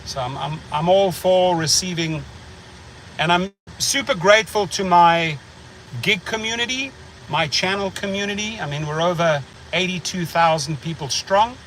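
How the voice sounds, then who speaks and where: A man's voice: high-pitched, normal speed, a bit bright, slight background noise, rough recording. A middle-aged man with a South African accent hosting a casual livestream chat, rambling and blunt, repeating words as he thinks. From United States. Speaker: channel host.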